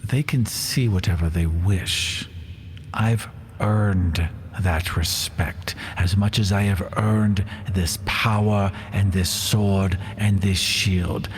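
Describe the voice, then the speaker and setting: A man's voice: low-pitched, fairly even intonation, slow, in a large hall, a little hiss or hum, pitched below the performer's own voice. A man voicing a bitter, proud fallen paladin in a tabletop game, speaking tersely and demanding what he is owed. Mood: proud, resentful. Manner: stern, terse, entitled.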